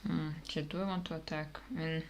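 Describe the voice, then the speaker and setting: A woman from Kazakhstan streaming a card game, thinking aloud in a hesitant, musing way with many pauses and fillers. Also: low voice, fairly even intonation, a little hiss or hum.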